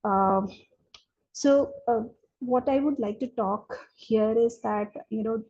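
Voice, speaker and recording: A woman's voice: on the high side, slow, a little mellow, slightly rough. A woman giving a webinar presentation over a video call, hesitant and full of fillers. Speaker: incubator speaker. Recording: dull lo-fi call audio.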